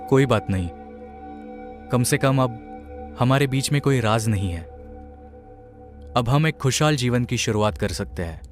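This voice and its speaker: A man's voice: mid-range voice, measured pace, pauses often, faint music behind, close to an everyday voice. A young man playing a groom who earnestly recounts a painful past, heavy-hearted in tone. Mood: relieved. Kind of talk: first-person story narration.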